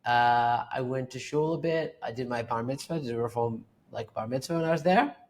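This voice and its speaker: A man's voice: mid-range voice, normal speed. A man, a painter guesting on a podcast, talking casually and rambling with many fillers. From Australia. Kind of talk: casual interview talk.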